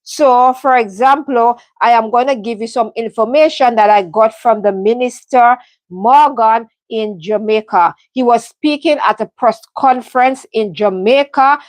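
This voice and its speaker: A woman from the Caribbean speaks earnestly on her live stream, pausing often with ums and starting sentences over. Her voice sits a little high. The sound is dry and close, with no room echo. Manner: earnest, heartfelt, many ums and restarts. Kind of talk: casual live stream update. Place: home in London.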